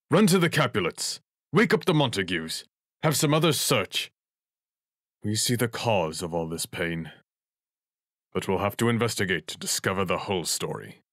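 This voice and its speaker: A man's voice: low-pitched, a bit bright, dry recording, studio quality. A man playing a stern chief watchman in an audio drama, authoritative, giving clipped orders. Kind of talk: audio drama acting of modernized Shakespeare.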